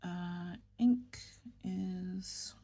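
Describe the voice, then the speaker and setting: Woman, New York accent, low voice, unhurried, gravelly, rambling casual chat. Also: dark tone. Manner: rambling, self-correcting, murmurs while writing. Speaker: fountain pen vlogger. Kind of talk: casual chat while writing.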